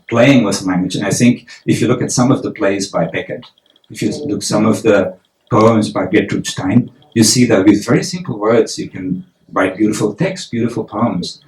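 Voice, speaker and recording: Low-voiced male poet, thoughtful and musing, echoey hall. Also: normal speed. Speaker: festival panelist, poet. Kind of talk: public panel talk. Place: event hall.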